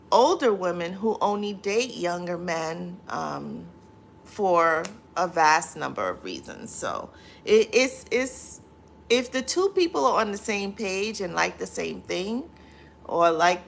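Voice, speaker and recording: A woman's voice: medium pitch, creaky, fairly bright tone, slight background noise. A woman hosting a casual livestream, reading viewer comments aloud and answering them with many fillers. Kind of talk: casual live chat.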